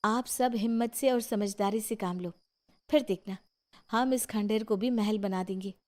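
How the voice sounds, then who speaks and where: A woman's voice: medium pitch, moderate pace, darkish tone, natural voice. A woman voicing a defiant, determined young city-bred daughter-in-law in a moral story, speaking firmly and resolutely. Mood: encouraging, determined. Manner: firm, resolute. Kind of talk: Hindi moral story character acting.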